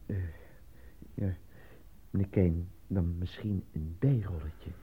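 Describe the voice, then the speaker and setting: A man acting as a worn-down, pleading out-of-work actor in a radio drama, his voice husky and hesitant. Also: deep voice, slow pace, heavily acted. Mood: dejected, pleading. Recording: thin phone-like old radio recording.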